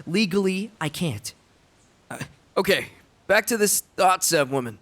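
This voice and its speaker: Man acting an anxious, high-voiced teenage schoolboy. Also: expressive, unhurried, heavy background noise. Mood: exasperated. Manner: hesitant, nervy, exasperated. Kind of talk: animated fandub drama acting.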